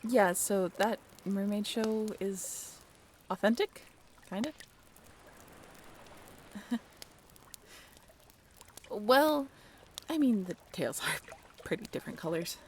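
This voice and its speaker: A woman's voice: mid-range voice, animated. Woman playing a caring half-mermaid sailor, noisy ambience. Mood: sheepish, confessing. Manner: naturalistic, halting, gently bossy. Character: caring half-mermaid young woman, sailing ship crew member, bashfully candid. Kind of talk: audio roleplay drama spoken directly to the listener.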